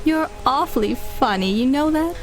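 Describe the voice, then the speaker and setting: A woman's voice: high voice, animated, moderate pace, faint music behind, low-quality recording. An actress playing a cool, teasing, evasive female stranger in a 1930s-style radio drama. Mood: coy, smug. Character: coy, smug, mysterious woman stranger. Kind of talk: 1930s radio adventure acting.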